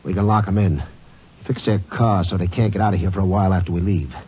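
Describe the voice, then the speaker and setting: A man's voice: sits low, fast, husky. An actor playing a ruthless gang-boss gunman in a 1950s radio drama, giving curt, threatening orders. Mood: cold menace. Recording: dull 1950s radio broadcast, faint hiss.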